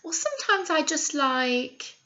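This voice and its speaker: A woman's voice: high voice, very animated, a little slow, bright, forceful tone. A British woman, a teacher, telling a story to young children expressively, with coaxing questions and asides, on a slightly dull recording.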